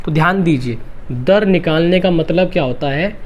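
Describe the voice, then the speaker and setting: A man's voice: on the high side, moderate pace, a little mellow, lo-fi sound. A male math teacher with a sore throat, giving an exam-prep lesson. He repeats each step and asks and answers his own questions. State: sore throat, clears throat and coughs.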